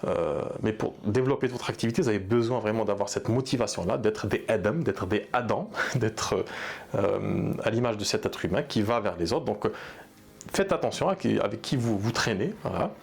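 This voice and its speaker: A man with a low voice gives earnest, unscripted advice to fellow therapy practitioners. He talks hesitantly, stopping often to restart his sentences and fill pauses. He also speaks Arabic. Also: expressive, vocal fry, husky. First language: bilingual in Arabic. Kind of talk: unscripted advice talk to camera.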